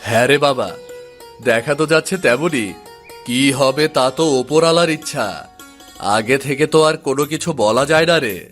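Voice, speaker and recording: A man's voice: medium pitch, moderate pace, fairly bright tone, soft background music, lightly acted. Man acting a weary, humble village farmer. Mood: resigned, weary. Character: worried, resigned poor village farmer, a father. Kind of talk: animated moral-tale dialogue.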